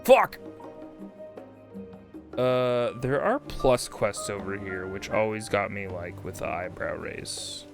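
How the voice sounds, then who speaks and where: Animated man chatting on a game livestream. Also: fairly low pitch, takes it slowly, vocal fry, music in the background. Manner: animated, exclamatory, chatty with sweary asides. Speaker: livestream host. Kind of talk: casual game livestream chat.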